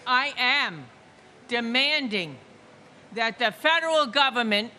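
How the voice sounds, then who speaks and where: Solemn woman in her sixties, high bright voice, slow and emphatic memorial reader. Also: a little hiss or hum. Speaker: victim's family member reading names. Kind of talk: memorial ceremony name reading and speech.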